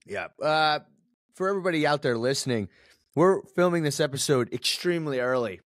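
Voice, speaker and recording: A man's voice: on the high side, lively intonation, a little fast, dry recording, clean studio sound. A man co-hosting a casual sports podcast, reading promos in a sing-song way and rambling with restarts in the chat. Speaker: podcast co-host.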